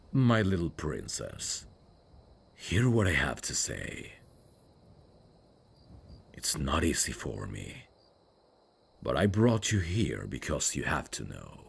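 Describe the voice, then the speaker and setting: A man playing a brooding dark fantasy prince in an intimate ASMR roleplay, tender and lovesick, with a husky, gravelly voice and a hushed, formal delivery. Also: rumbling low, a little hiss or hum, exaggerated voice. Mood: earnest, pleading. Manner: slow, hushed, formal and halting. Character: brooding evil prince, tender and lovesick toward old friend. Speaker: voice actor.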